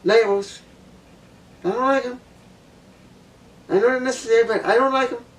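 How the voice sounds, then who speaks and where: A woman with a low voice giving a blunt opinion monologue, repeating her points for emphasis. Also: steady tone, normal speed, distant mic. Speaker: vlogger.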